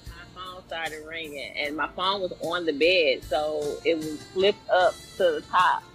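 A woman's voice: sits low, steady tone, unhurried, a bit bright, soft background music, rough recording. A woman co-hosting a comedy podcast, telling a personal story bluntly and matter-of-factly. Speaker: podcast co-host. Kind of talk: casual comedic podcast chat.

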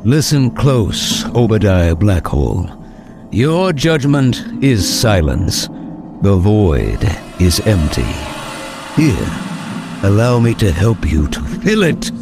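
An actor playing a grandiose, coldly menacing villain with cosmic ambitions in an old-time radio drama, speaking with mocking deliberation. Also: male voice, fairly low pitch, big pitch swings, slow pace, vocal fry, heavily acted. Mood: menacing calm. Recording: dramatic music behind.

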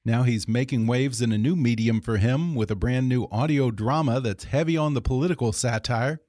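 A man's voice: mid-range voice, normal speed, a little mellow. A man hosts a podcast in a mid-range voice. He reads scripted introductions close to the microphone. The sound is very dry, with no room echo. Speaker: podcast host and interviewer.

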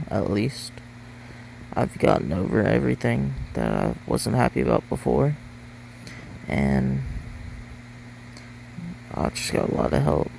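Guy saying thanks, swoopy voice all over, lots of fry, ac humming. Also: mid-range voice, a little mellow, a little hiss or hum, rough recording. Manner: grateful, upbeat. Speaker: caller.

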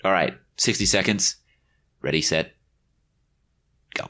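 A man co-hosting a podcast, joking in relaxed banter. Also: low-pitched, measured pace.

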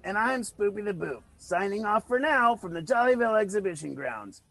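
A man voicing an earnest, excitable little radio reporter in a scripted comedy radio drama. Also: sits high, normal speed, vocal fry, no room sound, heavily acted, rough recording. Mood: eager.

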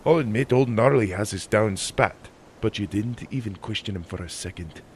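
A man voicing a stern, sardonic older sailor with a deep accented voice and a curt, scolding manner in a fantasy audiobook. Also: moderate pace, slight background noise, close to an everyday voice. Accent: deep put-on accent. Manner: curt, chiding, dry.

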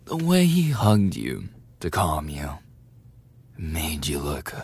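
A man acts out a sadistic teenage school bully in a roleplay audio drama. His voice is low and rough-edged, and it swings widely in pitch as he taunts. The delivery is unhurried, sneering and full of menace. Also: huge pitch swings, darkish tone, exaggerated voice. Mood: cruel mocking. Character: sadistic male teenage delinquent school bully, mocking and cruel.

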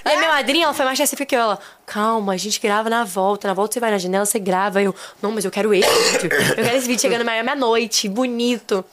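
A young Brazilian woman, a podcast guest, telling a travel story in casual chat, animated and laughing, repeating phrases for emphasis. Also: on the high side, racing, hardly any pauses, noisy background. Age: young adult.

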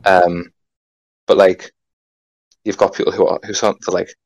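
A young man with a Geordie accent talks in a low, deep voice, rambling loosely and dropping "like" into nearly every phrase. The sound is dry and close, with no room around it. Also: flat delivery, unhurried, creaky, dry recording. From North East England. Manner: rambling, full of "like", swears freely. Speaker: guest. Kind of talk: casual podcast chat.

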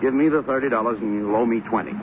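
A man's voice: medium pitch, fast talker, narrow, tinny audio, noisy background, close to an everyday voice. An American actor playing a glib, falsely chummy army con man in a 1940s radio comedy, insistent and badgering, on an old broadcast. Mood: indignant, insistent.

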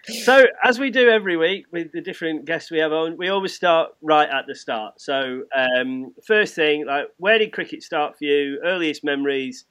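A man's voice: a little high, normal speed, a bit bright, clear, resonant tone, lo-fi sound. An English man hosting a casual sports interview in a matey, informal way. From England. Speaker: podcast host interviewing a guest.

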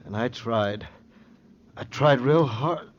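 An actor playing a weak, wounded, grief-stricken man in old radio drama, speaking in halting, broken phrases. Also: medium pitch, close to an everyday voice. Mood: anguished, reproachful. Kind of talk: 1950s radio crime drama acting. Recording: dull, slightly noisy old radio recording.

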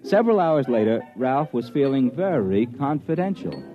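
An actor playing a sardonic, slangy disc jockey telling his story in an old-time radio drama, with drawn-out hesitations. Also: medium pitch, very animated, faint music behind, lightly acted. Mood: wry storytelling. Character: wry, hip, cash-strapped radio disc jockey narrator. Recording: dull vintage radio recording.

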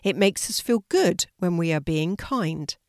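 A woman voicing a kindly, encouraging young girl with a gentle, coaxing way of explaining. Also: fairly low pitch, lively intonation, measured pace, no room sound, studio quality.

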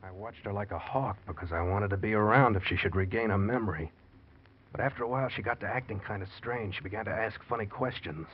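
An actor playing a scheming, guilty husband who feigns tender concern, in old-time radio drama style. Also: male voice, on the low side, fast, husky. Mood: tense confession. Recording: muffled vintage radio recording.

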